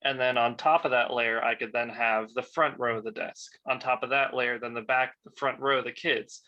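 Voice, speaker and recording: A young man with a bright, sharp-edged voice of average pitch. He talks casually as a panelist about his creative work. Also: steady tone, moderate pace, muffled recording. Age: young adult. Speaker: video animator on a panel.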